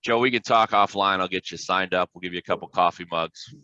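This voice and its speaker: Deep-voiced American man, bright and forceful. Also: fast talker, clear, resonant tone, dry recording, muffled recording. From Illinois, United States. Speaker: podcast guest, fire dispatcher. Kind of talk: casual explanatory interview talk with anecdotes.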